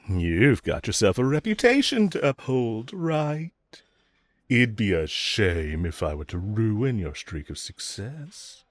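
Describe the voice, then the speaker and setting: Male actor as teasing villain for audio roleplay: lowish voice, swooping inflection, smug, scheming, flirty. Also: dry recording. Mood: sly, threatening. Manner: teasing, coaxing, self-assured, drawn-out phrasing. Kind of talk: scripted audio roleplay addressed to the listener.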